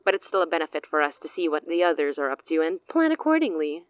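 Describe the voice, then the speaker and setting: An actress playing a cunning, self-assured sorceress in an audio drama, speaking in a theatrical, silky, condescending way. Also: female voice, deep voice, big pitch swings, quick pace, clear, resonant tone, no room sound, muffled recording. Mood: poised, persuasive. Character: cunning, self-assured woman sorceress offering a dangerous pact.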